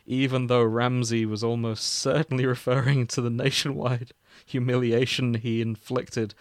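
A man's voice: mid-range voice, flat delivery, normal speed, dry recording, clean studio sound. A man hosting a comic fan fiction reading, narrating theatrically with amused, casual banter.

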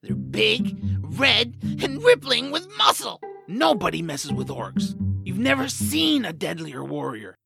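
Woman voicing an excitable, gravelly, nervous male troll. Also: medium pitch, measured pace, heavily acted. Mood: alarmed. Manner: nervous, flustered, exclamatory. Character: anxious, excitable male troll, the hero's friend. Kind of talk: family audio drama acting. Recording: voice under clear background music.

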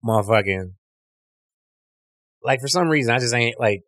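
A young man with a deep voice, a comedian telling a rambling, profane story in casual podcast chat and stumbling over his words. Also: expressive, normal speed, a bit bright, no room sound, clean studio sound. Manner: rambling, profane, restarts often, acts out quotes in a high voice.

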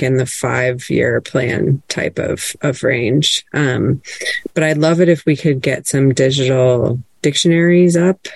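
A woman's voice: deep voice, darkish tone, dry recording. A woman librarian presenting casually on an online call, chatty, with frequent fillers and restarts.

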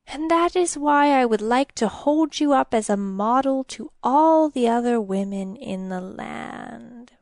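Sly old fairytale king, played by a woman: croaky, coaxing, falsely kind, unhurried delivery. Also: fairly high pitch, expressive, darkish tone, dry recording, clean studio sound. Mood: falsely sweet, coaxing. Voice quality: croaky, scratchy.

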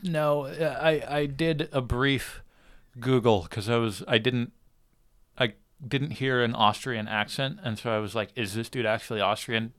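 A man co-hosts a casual podcast in a blunt, offhand way, swearing now and then. His voice sits at an everyday middle pitch. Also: measured pace, a bit bright. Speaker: podcast co-host.

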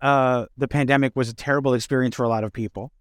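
A man hosting a casual interview, talking in halting, measured phrases. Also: medium pitch, fairly even intonation, clean tone, dry recording, clean studio sound. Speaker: interview host.

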